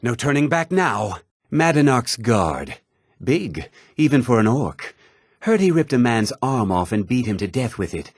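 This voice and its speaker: An actor voicing a haughty, gossipy, well-to-do townsman in a fantasy video game, speaking in a measured, theatrical way with a husky voice. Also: mid-range voice, big pitch swings, no room sound, clean studio sound, heavily acted. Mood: grim, confiding. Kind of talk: scripted fantasy game character dialogue.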